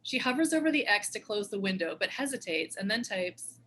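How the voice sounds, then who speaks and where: A woman narrates a script's stage directions. Her voice is medium in pitch and bright, giving scene headings and actions as scripted narration. There is faint noise in the recording. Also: very animated, brisk.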